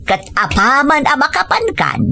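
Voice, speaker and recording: A woman's voice: sits high, sing-song, normal speed, creaky, full character voice. A woman playing a supportive older mother of a grown son in an audio drama, reassuring and practical as she advises. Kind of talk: scripted audio drama dialogue. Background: faint music.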